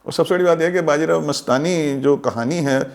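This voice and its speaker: Indian man debating in a higher-pitched voice. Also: a little fast, vocal fry. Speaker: film discussion participant. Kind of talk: casual film discussion.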